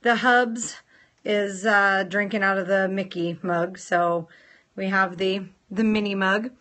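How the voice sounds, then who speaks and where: A woman hosting a casual live stream, chatting playfully with viewers and greeting them in a sing-song lilt, her voice a little rough from a sore throat. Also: medium pitch, fairly even intonation, takes it slowly, a bit bright. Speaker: nail salon owner hosting a live stream.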